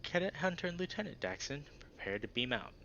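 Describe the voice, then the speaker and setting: A man's voice: fairly high pitch, big pitch swings, fairly quick, pushed and bright, lo-fi sound, a little hiss or hum, pitched above the performer's own voice, full character voice. A man voicing a laid-back, teasing young furry guy from a visual novel, with casual, playful, slangy delivery.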